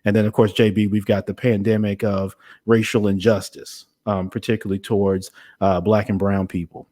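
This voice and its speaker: A man hosting a conversational podcast interview, speaking in a measured, hesitant way as he works through his thoughts. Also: fairly low pitch, level tone, warm tone.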